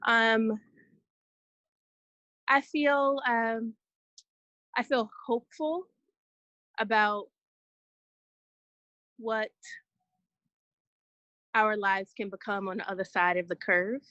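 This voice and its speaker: A woman speaks slowly and hesitates between phrases. Her voice sits fairly high. The sound is dry and close. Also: fairly even intonation, sharp tone, dry recording, muffled recording. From New York City. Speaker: chaplain.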